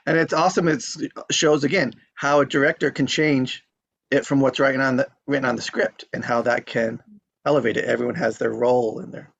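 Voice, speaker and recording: A man's voice: medium pitch, fairly even intonation, fairly quick, vocal fry, fairly bright tone, no room sound, muffled recording. A man chatting casually in a group, rambling and informal in the way he talks. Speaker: table read participant.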